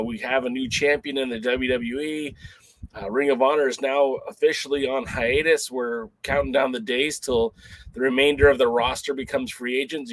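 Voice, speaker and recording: A man hosting a laid-back talk show, chatting loosely and filling gaps with ums and uhs. His voice sits at an ordinary middle pitch. The sound is close and dry. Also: dry recording, low-quality recording. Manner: rambling and conversational, lots of fillers. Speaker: podcast host. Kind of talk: casual chat show.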